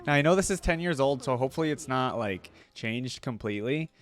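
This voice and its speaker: A man giving casual reaction commentary as a video host, informal and rambling as he thinks out loud. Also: mid-range voice, expressive, brisk.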